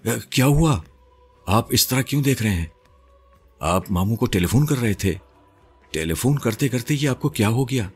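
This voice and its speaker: Man doing a worried flustered wife, pitched up from his own voice, bit husky, audio story. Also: expressive, long pauses, faint music behind. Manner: flustered, questioning. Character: anxious, concerned young wife.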